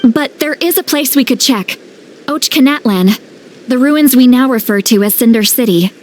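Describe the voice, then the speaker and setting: A woman's voice: high voice, moderate pace, natural voice. An actress playing an earnest young female guide in a video game. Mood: informative. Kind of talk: video game character acting.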